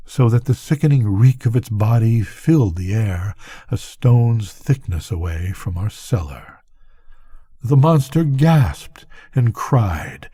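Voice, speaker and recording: An older man reading a bedtime story aloud as a storyteller, with deliberate, dramatic phrasing. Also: mid-range voice, warm tone, slightly rough.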